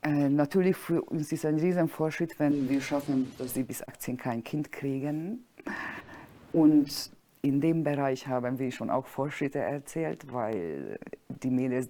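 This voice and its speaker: A woman's voice: rumbling low, warm tone. A woman who teaches at a school, answering questions in an interview. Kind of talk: interview talk.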